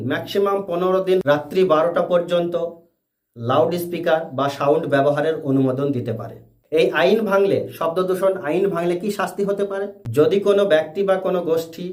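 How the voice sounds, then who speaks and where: A man presenting a scripted educational explainer in deliberate, separate phrases. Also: high voice. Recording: echoey room.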